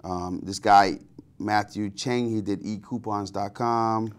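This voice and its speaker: A man's voice: fairly low pitch, fairly even intonation, takes it slowly, clear, resonant tone. A man in his thirties from the Bahamas, co-hosting a casual business panel chat in a TV studio, often restarting his sentences. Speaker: co-host. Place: TV studio, seated at desk.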